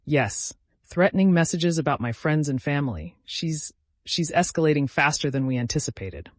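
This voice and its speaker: A man's voice: a little high, expressive, moderate pace, no room sound. A man voicing an anxious, defiant young woman in an audiobook thriller, speaking with urgent tension.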